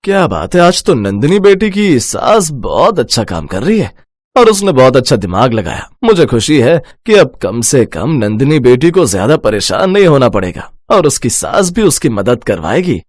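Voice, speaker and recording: Male actor as kindly village father, earnest and protective, husky, lively intonation, cartoon story. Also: mid-range voice, moderate pace, no room sound, studio quality. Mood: approving.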